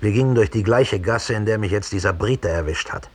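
An actor playing a cold, embittered middle-aged German killer who slowly and broodingly confesses in a 1960s radio play. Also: male voice, fairly low pitch, a little mellow, husky. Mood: brooding, bitter. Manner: slow, deliberate, brooding storytelling.

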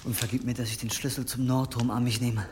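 A man's voice: medium pitch, level tone, fast, very noisy, lightly acted. A young actor in a German audio drama playing an anxious, pious monk who mutters under his breath and pleads with strain. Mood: furtive, guilty. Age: young adult.

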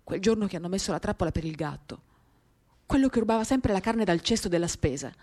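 A woman gives an expressive, lyrical dramatic reading of a first-person literary story, shifting in intensity as she tells it. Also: on the low side. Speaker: reader of a literary work. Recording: acoustic guitar music accompanying the voice. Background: acoustic guitar.

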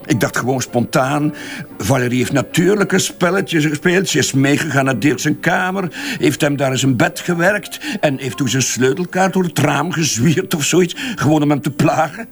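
A man's voice: fairly high pitch, normal speed, soft background music, lightly acted. A man with a Flemish accent playing a defensive advertising boss under questioning in a crime drama, hesitant and evasive, often backtracking. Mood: flustered, defensive. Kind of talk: radio crime drama dialogue.

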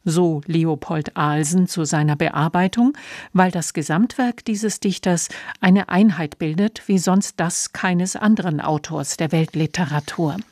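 A woman radio announcer reading a scripted introduction in a measured, factual manner. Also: on the low side, darkish tone, dry recording, clean studio sound. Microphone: close studio microphone.

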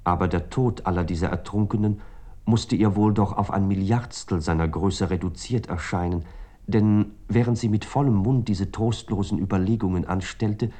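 An actor as low, mellow reflective male narrator. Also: close to an everyday voice. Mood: measured, gently ironic. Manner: measured, articulate, long flowing sentences. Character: reflective, ironic male first-person literary narrator. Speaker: narrator of a literary radio play. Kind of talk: literary scripted narration.

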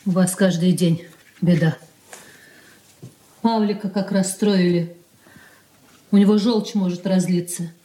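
An actress in a radio drama playing a resentful, self-righteous married daughter with an aggrieved, scolding manner. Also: female voice, fairly low pitch, steady tone, slow, pauses often, warm tone. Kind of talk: Russian stage-style radio drama acting.

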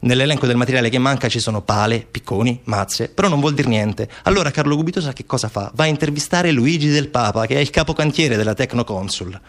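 Male stage narrator for civic-theatre monologue: mid-pitched, conversational, dramatic, uses rhetorical questions. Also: fast, hardly any pauses. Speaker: stage actor and storyteller.

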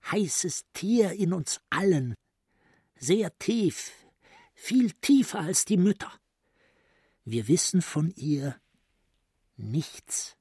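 A grandiose psychiatrist lost in dark private thought. The voice is mellow and breathy, slightly high for a man, and moves with slow, intense deliberation. It is a close, dry radio drama monologue. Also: dry recording, exaggerated voice. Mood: brooding, intense. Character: brooding, grandiose psychiatrist voicing his inner thoughts. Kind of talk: literary radio play monologue.

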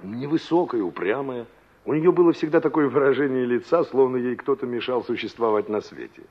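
A man's voice: mid-range voice, fairly quick, close to an everyday voice. A man acting a young engineer and former carpenter in a 1960s radio drama, conversational and reflective, searching for words as he reminisces. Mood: wistful, searching. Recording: dull, band-limited old radio recording.

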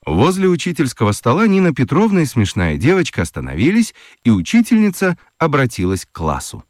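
A man narrating a children's radio play in a gently ironic, highly expressive storytelling manner. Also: a little fast, no echo, studio quality.

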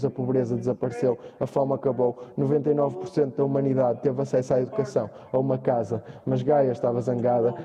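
A man's voice: medium pitch, close to an everyday voice. A man with a European Portuguese accent playing a chronicler of future wars and revolution in a radio drama. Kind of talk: scripted narration in a radio drama.

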